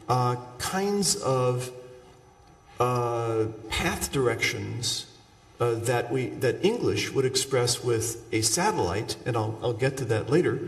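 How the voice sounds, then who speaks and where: Guy lecturing on linguistics, stops and starts hunting for words, echoey hall, mic far away. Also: medium pitch. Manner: halting, searching for words, explanatory asides. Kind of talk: academic lecture with example sentences. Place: large reverberant lecture room. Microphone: far from the speaker. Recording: echoey hall, distant microphone.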